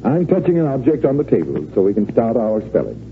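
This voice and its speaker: An actor playing a confident, unruffled, slightly smug stage magician in an old radio drama. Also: male voice, medium pitch, huge pitch swings, fast talker, soft background music. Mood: smug, teasing. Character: confident, cool-headed male stage magician. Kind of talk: 1940s radio drama acting. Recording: tinny, narrow, noisy old radio recording.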